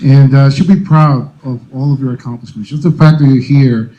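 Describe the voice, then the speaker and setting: A middle-aged man from the Dominican Republic, a native Spanish speaker, giving a prepared speech at a college convocation, earnest, with frequent pauses and fillers. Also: mid-range voice, steady tone, dull audio, background noise. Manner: many uh and um fillers, measured and earnest. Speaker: alumni speaker.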